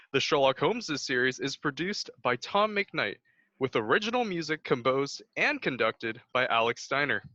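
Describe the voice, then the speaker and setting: A man acting as a polished 1940s radio announcer. The voice is bright, forceful and lively in pitch, on slightly dull audio. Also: no echo.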